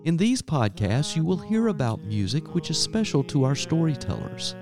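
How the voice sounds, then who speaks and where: Lively man introducing over guitar. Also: a little high, moderate pace. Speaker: podcast host. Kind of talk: scripted introduction. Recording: guitar music behind voice.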